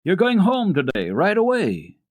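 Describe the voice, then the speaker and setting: A man's voice: high voice, huge pitch swings, a little slow, darkish tone, no room sound, voice pushed up, full character voice. A man voicing a kindly, cheerful, decisive father and master of the house in a children's storybook reading. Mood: jovial, teasing. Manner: jovial, encouraging, decided.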